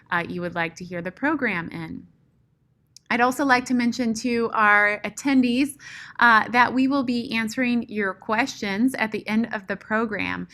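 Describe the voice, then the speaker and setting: A woman's voice: medium pitch, a bit bright. A woman hosting an online webinar, giving an upbeat, announcing introduction with "uh" fillers. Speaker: host. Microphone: video call.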